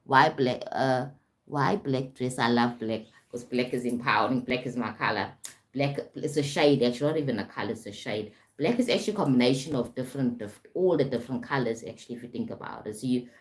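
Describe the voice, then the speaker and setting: A woman with a remarkably deep, low voice hosts a casual spiritual live stream. She talks loosely and keeps checking in with her viewers, repeating words as she goes. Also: a little fast. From South Africa. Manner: repetitive, frequent "right?" check-ins. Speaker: livestream host, spiritual coach.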